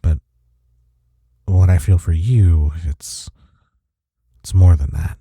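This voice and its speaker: A man playing a smitten office rival in an intimate romantic audio roleplay, with a husky, mellow voice and a halting, teasing manner. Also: sits low, no room sound, clean studio sound, exaggerated voice. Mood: sultry, tender. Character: flustered, smitten male office rival confessing attraction.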